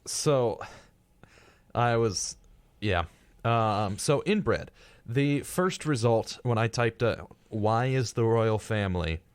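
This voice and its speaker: A man's voice: mid-range voice, animated, slow pace, smooth, no echo. A man co-hosting a casual podcast discussion, reading out facts in a dry, dismissive way. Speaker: podcast co-host.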